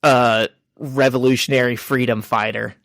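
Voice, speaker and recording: A man's voice: mid-range voice, steady tone, normal speed, creaky, fairly bright tone, no room sound, studio quality. A man co-hosting a podcast, chatting casually and telling self-mocking stories. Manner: joking, self-mocking storyteller. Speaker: podcast co-host. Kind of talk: casual chat.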